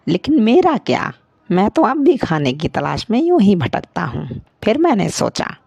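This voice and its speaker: A sly cartoon crow acted by a woman, greedy and resentful. He has a gravelly, creaky voice that swings wildly and lingers on his theatrical exclamations. The pace is slow and the sound dry. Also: medium pitch, sing-song, warm tone, dry recording, heavily acted. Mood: bitter, resentful. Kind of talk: Hindi cartoon moral-story acting.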